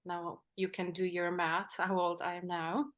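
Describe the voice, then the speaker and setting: An unhurried woman in her forties speaks slowly and reflectively as an interview guest. A native Polish speaker from the Czech Republic, she talks with an American-tinged English accent. She pauses often and fills the gaps with small hesitations. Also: on the low side, level tone. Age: 40s. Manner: measured, pausing with frequent fillers.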